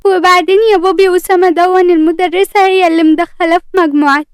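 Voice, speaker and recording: A woman's voice: very high voice, steady tone, quick pace, dry recording, clean studio sound, voice pushed up. A woman voicing an innocent, tearful six-year-old girl with a plaintive childlike manner in an audio story. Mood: tearful, complaining.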